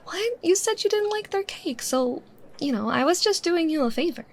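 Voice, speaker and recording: A woman playing a bubbly, teasing young woman chatting casually with her secret crush in a roleplay, expressive and playful. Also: very high voice, normal speed. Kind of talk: roleplay ASMR, acted casual chat.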